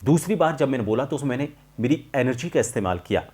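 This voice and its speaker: A man presenting an instructional tutorial as a voice coach, explaining in a measured, emphatic way and repeating key points. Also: mid-range voice, animated, darkish tone.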